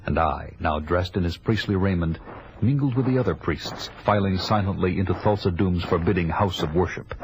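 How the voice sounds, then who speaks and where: Man acting as a deep-voiced vengeful barbarian warrior. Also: normal speed, background noise. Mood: grave, narrating. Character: vengeful barbarian warrior narrating his own quest. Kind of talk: scripted first-person audio drama narration. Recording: music behind the voice.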